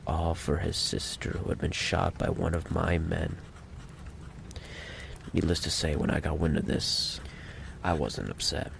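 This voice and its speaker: Man acting very deep gravelly menacing mafia boss. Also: a little hiss or hum, heavily acted. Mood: cold storytelling. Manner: deliberate, theatrical, coolly condescending. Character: menacing, possessive male mafia boss, softly threatening. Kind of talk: ASMR roleplay monologue.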